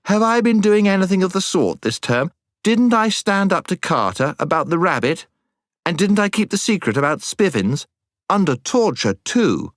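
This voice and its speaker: A man's voice: sits low, expressive, measured pace, a bit bright, no room sound, studio quality, exaggerated voice. A man voicing an earnest, defensive British schoolboy in a storybook, with a slightly lecturing manner. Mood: indignant protest.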